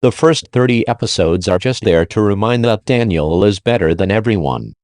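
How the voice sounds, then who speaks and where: A man's voice: on the low side, lively intonation, moderate pace, a little mellow. A man hosting and narrating a scripted countdown review in an informal, slangy way. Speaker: video host and reviewer.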